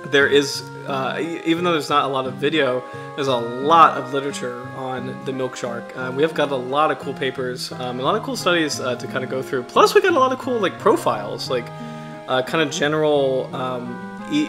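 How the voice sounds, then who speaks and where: A man in his thirties from the US Chesapeake Bay region, hosting a casual livestream in a halting, self-deprecating way, his throat slightly raspy from allergies. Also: medium pitch, over music. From United States, Chesapeake Bay region. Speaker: livestream host.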